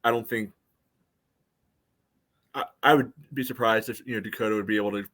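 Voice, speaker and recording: A man's voice: fairly low pitch, fairly even intonation, fairly quick, fairly bright tone. A man co-hosting a sports podcast, casually breaking down a soccer match in a conversational, qualifying way.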